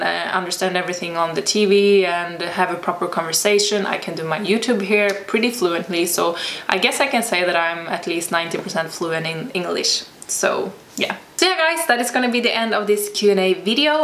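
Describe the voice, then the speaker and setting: A woman's voice: mid-range voice, a bit bright. A young woman from Sweden, a native Swedish speaker with a Swedish accent, answering viewer questions in a casual vlog, thoughtful and hesitant as she searches for words. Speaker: vlogger and personal trainer. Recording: echoey room with faint ambient noise.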